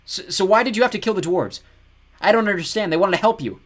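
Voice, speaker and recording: A man's voice: high-pitched, big pitch swings, fast talker, pitched above the performer's own voice, exaggerated voice. A man doing a scared, defiant boy. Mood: tense. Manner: shaky, pleading and shouting. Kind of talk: audiobook character voice.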